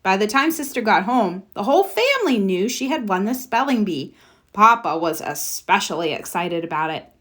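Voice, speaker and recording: A woman giving an expressive read-aloud narration of a children's storybook. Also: medium pitch, moderate pace.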